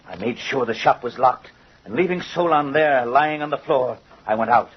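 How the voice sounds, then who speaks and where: An actor playing a vengeful, gloating doll-maker in old-time radio horror, with a theatrical, menacing and mocking manner. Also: male voice, medium pitch, animated, moderate pace. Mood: confessing. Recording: husky, muffled old radio recording.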